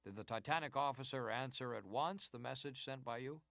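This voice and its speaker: Formal, probing senator. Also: male voice, medium pitch, gravelly, a bit bright, no echo, studio quality. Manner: formal, deliberate, inquisitorial. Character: formal, probing American senator questioning a witness. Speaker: actor reading hearing testimony. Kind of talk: dramatized reading of historical hearing.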